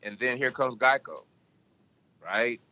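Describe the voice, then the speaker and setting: A man's voice: lively intonation, takes it slowly, bright, forceful tone. A man guest on a podcast, talking in a casual, emphatic, storytelling way. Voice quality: can go deep. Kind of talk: casual interview talk.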